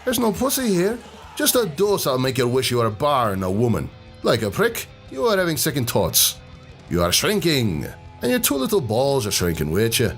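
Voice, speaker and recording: A man's voice: medium pitch, huge pitch swings, moderate pace, faint music behind. A man playing a cocky, foul-mouthed, drunken brawler in a fan audio drama, sardonic and taunting. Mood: menacing.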